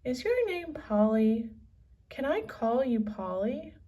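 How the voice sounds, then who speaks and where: A woman voicing an eager, adventurous young girl in a children's storybook, with an excitable, exclaiming manner. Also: high-pitched, slow, far from the mic, voice pushed up, heavily acted. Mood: excited wonder.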